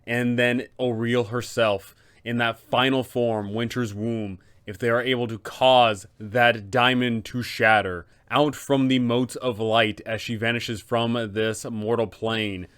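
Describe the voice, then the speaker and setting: Male host, smooth clean voice, medium pitch, emphatic storyteller phrasing, explanatory game-master commentary. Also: flat delivery, unhurried, a bit bright. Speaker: host, tabletop game master giving advice. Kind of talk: explanatory video commentary on role-playing villains.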